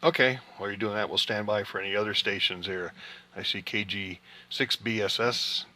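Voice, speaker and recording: A man hosting an amateur radio session, chatting casually and explaining things in an unhurried way. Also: fairly low pitch, steady tone, pushed and bright. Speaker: amateur radio operator hosting an on-air QSO party. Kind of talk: casual ham radio chat.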